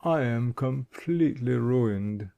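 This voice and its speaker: A hollow, deep-toned scarecrow , put on by a male narrator, mourns his lost straw in a slow, dragging voice. The tone stays dark and mellow, and he sounds noble even in defeat. It comes from a storybook reading. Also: creaky, muffled recording. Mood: mournful. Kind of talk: audiobook character voice.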